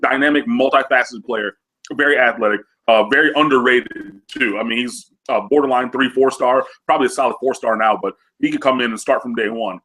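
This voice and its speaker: A man's voice: medium pitch, a little fast, pushed and bright, breathy edge, poor audio. A man talking about college football recruits, nasal from a cold, chatty with lots of fillers. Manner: chatty, many fillers, enthusiastic. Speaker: recruiting analyst guest. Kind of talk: casual sports recruiting talk.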